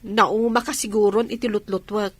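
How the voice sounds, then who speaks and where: A woman's voice: mid-range voice, flat delivery, normal speed, no room sound, lightly acted. A woman with an Ilocano accent acting as a middle-aged provincial housewife and attentive wife in a radio drama. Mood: questioning, uneasy.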